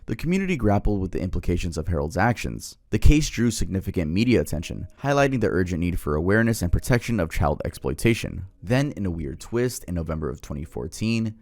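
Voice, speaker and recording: A man tells true crime stories in a soothing, very deep voice. His pitch moves a lot, and the delivery sounds relaxed with a light joking edge. The recording is close and dry. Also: dry recording. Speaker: YouTube host. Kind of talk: true crime commentary and narration.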